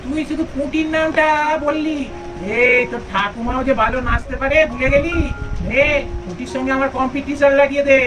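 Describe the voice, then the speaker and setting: A woman acts out a bossy old granny doll in a puppet story, pitched high. The granny scolds and makes demands, a little far from the mic, over music. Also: fairly even intonation, a little fast, close to an everyday voice. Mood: bossy, challenging. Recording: distant voice with music behind.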